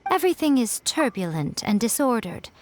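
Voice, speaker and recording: A woman's voice: fairly high pitch, very animated, brisk, darkish tone, a little hiss or hum. A woman voicing a sly, poised fox familiar in a video game, speaking with wry, teasing condescension. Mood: composed, crafty. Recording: music behind the voice.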